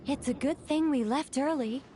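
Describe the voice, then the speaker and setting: A woman's voice: high voice, moderate pace, dark tone, a little hiss or hum, natural voice. A woman playing a composed, gracious young lady in a video game. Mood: relieved.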